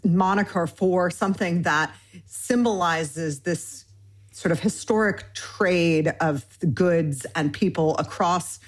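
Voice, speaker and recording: A woman in her forties from Michigan speaks as a guest in a conversational interview. Her voice sits a little low, and she strings her thoughts together eagerly in long, winding lists, filling the gaps with "um" and "you know.". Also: takes it slowly. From Michigan, United States. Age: 40s. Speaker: cookbook author guest.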